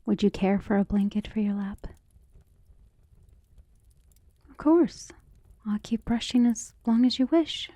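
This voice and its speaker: A woman playing a devoted, outspoken servant of a noble household in an ASMR roleplay, speaking gently and deferentially in formal phrasing, often slipping into a whisper. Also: mid-range voice, normal speed, no echo, lightly acted. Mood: attentive, soothing. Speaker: voice actor in an ASMR roleplay audio drama. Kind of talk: soft-spoken ASMR roleplay acting, often dropping to a whisper.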